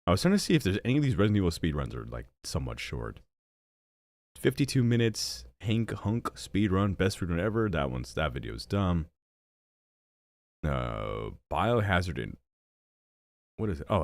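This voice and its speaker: A man's voice: moderate pace, no echo. A young man streaming games and chatting casually, rambling and joking at his own expense, with a stuffy nose and hiccups. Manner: rambling, self-mocking, animated with sudden jumps in pitch. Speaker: streamer and gaming channel host. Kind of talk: casual live-stream chat.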